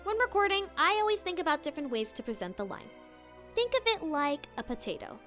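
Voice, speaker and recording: Casting: female tutorial host, high-pitched, playful and theatrical, lively swings in intonation. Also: a little fast, soft background music. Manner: animated, theatrical emphasis. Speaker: voice actor and video host. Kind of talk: scripted, playful tutorial narration.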